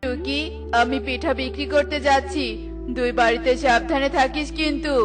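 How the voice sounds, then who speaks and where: A woman voicing a humble, poor bird father who peddles cakes in a children's cartoon, gentle and plaintive, with music behind. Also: high-pitched, steady tone, moderate pace, close to an everyday voice. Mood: tender, hawking.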